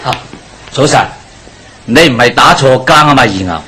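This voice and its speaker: An actor playing a talkative village shop boss with a Hong Kong Cantonese accent and a husky voice, teasing and inquisitive, in a radio drama. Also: male voice, mid-range voice, animated, normal speed, pushed and bright. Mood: curious, teasing.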